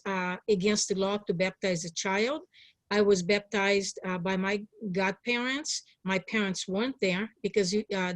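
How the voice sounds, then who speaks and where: A woman in her sixties, from Hungary, speaks as a political guest in an interview. Her voice is mid-pitched, with very little room sound around it. She gives personal testimony in an earnest, emphatic, pleading way. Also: dry recording. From born in Hungary. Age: 60s.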